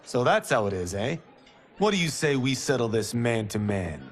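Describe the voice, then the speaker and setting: A man's voice: mid-range voice, sing-song, moderate pace, close to an everyday voice. An actor playing a cocky, restless young male farmer-fighter who boasts and teases, in a video game cutscene. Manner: boastful, teasing. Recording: game soundtrack music behind.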